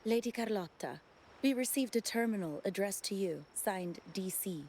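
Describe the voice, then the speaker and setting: A woman's voice: mid-range voice, expressive, normal speed, darkish tone, a little hiss or hum, lightly acted. A woman playing a dutiful subordinate in a video game, reporting in a deferential, formal and precise manner. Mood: deferential, businesslike. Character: dutiful female subordinate reporting to her lady, polite and efficient. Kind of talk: scripted video game character acting.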